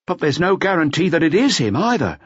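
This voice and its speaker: Man voicing a businesslike young detective, pitched up. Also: sing-song, slightly rough, no echo, lo-fi sound. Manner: businesslike, reporting briskly. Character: solid thirty-year-old male detective, dutiful junior.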